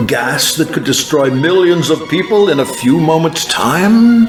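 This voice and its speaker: A man's slightly high voice rings with echo over music as he narrates an apocalyptic vision. He is solemn and theatrical, drawing out his phrases with very slow pacing. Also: animated. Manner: solemn, theatrical, drawn-out delivery. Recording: roomy echo under loud music.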